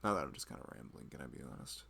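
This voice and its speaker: A man's voice: rumbling low, expressive, very fast, creaky, pushed and bright, slightly rough, exaggerated voice. A man playing a loving husband who rambles tenderly and a little anxiously in an intimate, conversational ASMR roleplay. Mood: anxious, confiding. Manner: rambling, hesitant, conversational, frequent "you know". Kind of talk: intimate ASMR boyfriend roleplay, improvised-sounding chat.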